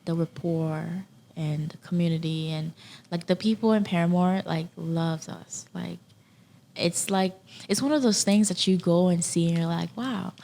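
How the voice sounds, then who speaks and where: A woman with a slightly low, noticeably creaky voice talks as a podcast guest. She is casual and earnest, and she drives points home by repeating key phrases. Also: fairly even intonation, normal speed, dark tone, a little hiss or hum. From Haiti. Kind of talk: casual interview conversation.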